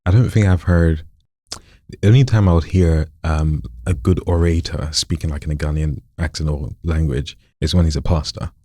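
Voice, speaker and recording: A man's voice: very deep voice, steady tone, normal speed, warm tone, husky, no echo. A man hosting a casual studio interview, asking curious, rambling questions. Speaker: podcast host.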